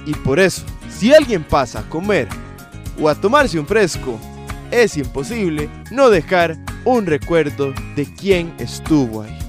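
A young Costa Rican man narrates a scripted TV feature. His voice sits fairly high and moves with very lively intonation. Music plays behind him. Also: measured pace. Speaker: television reporter. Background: background music.

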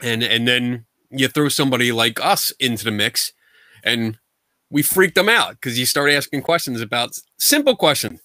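A man's voice: mid-range voice, normal speed, no echo. A middle-aged man from the United States, a guest paranormal investigator talking bluntly and animatedly over a video call from his home. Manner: animated, gestures a lot, blunt and colloquial. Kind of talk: casual video call interview. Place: home room full of collectibles.

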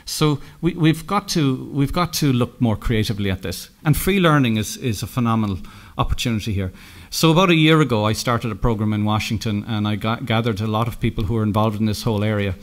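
A middle-aged Irish man giving a conference keynote, telling anecdotes with lots of halting restarts and self-corrections. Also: lowish voice. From Ireland. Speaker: keynote speaker. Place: conference room, indoors.

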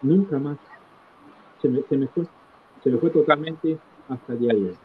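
A middle-aged man recounting his life as an interview guest, in a halting manner, repeating phrases for emphasis. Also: fairly high pitch. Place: small indoor room, video call. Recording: tinny phone-like call with clear noise.

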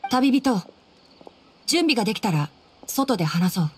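A woman voicing a gentle, practical, boyish young male forest ranger in an anime game. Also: a little high, sing-song, measured pace, a little hiss or hum. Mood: considerate.

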